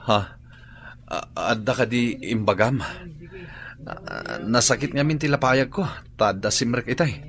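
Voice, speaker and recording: An actor playing a scheming, work-shy bandit underling in an Ilocano radio drama, hesitant and furtive, with an Ilocano accent. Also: male voice, mid-range voice, a little slow, long pauses, fairly bright tone. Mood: feigning weakness. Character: shirking, scheming bandit underling feigning sickness.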